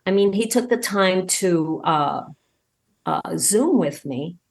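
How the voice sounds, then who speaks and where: A woman with a slightly low voice chats as a guest on a podcast. She sounds keen and excited, talking in a halting, conversational way and doubling back on her words. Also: creaky. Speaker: podcast guest, audio drama creator. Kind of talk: casual interview chat.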